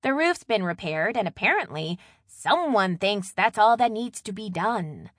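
An exasperated, bossy younger sister as played by a woman narrator in an audiobook. Her pitch sits high and leaps around as she complains loudly and emphatically. The sound is close and dry. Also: big pitch swings, normal speed, no echo. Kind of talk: audiobook character dialogue.

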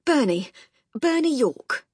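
A woman's voice: mid-range voice, big pitch swings, moderate pace, fairly bright tone, husky, no echo, studio quality. A woman narrator voicing a cheerful, dishevelled young man who is eager, breezy and out of breath, in an audiobook. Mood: flustered.

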